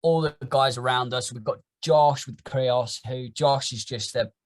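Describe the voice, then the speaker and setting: A young man with an English accent, chatting casually as a podcast guest, rambling and animated. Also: mid-range voice, measured pace. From England. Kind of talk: casual chat.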